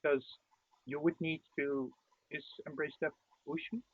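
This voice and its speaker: A husky-voiced man joining a card game stream remotely. He thinks aloud and stops and restarts his sentences. His voice comes through a dry, tinny, phone-like line. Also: fairly high pitch, steady tone, a little slow, no room sound. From outside the United States. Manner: thoughtful, hesitant restarts. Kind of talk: casual post-game chat. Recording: dry, tinny call-quality audio.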